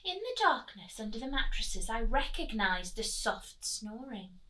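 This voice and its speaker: A woman reading a children's storybook aloud in an expressive, dramatic way, as if to young listeners. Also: a little high, a little slow, poor audio. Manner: dramatic, asks listeners questions, drops to whispers. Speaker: storytime reader, teacher.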